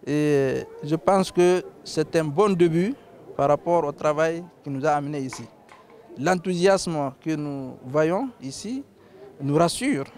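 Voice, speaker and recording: Measured man giving a public speech. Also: fairly high pitch, darkish tone. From Guinea. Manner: measured, with frequent hesitations. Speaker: legislator on a tour.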